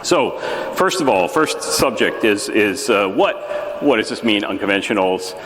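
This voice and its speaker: Booming American man in an echoey tent. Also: a little slow, a little hiss or hum. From United States. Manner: relaxed, frequent fillers and restarts. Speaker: conference presenter. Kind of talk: casual conference talk. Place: large echoey tent at a festival. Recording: reverberant venue with faint ambience.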